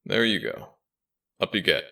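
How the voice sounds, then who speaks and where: A man playing a smitten young dragon shifter prince in a romantic ASMR audio roleplay, speaking tenderly and a little haltingly to the listener. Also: low voice, creaky, sharp tone, heavily acted. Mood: caring, encouraging.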